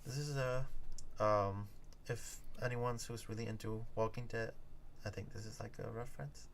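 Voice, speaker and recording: Casual co-host, lowish male voice, halting delivery, faint background noise. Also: steady tone, vocal fry. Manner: hesitant, many fillers and restarts. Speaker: co-host reacting to game footage. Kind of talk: casual chat.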